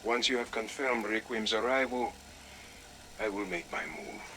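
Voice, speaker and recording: An actor playing a commanding, cold Soviet colonel, giving deliberate orders in a game audio log. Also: male voice, lowish voice, bright, forceful tone, a little hiss or hum. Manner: deliberate, authoritative, clipped orders.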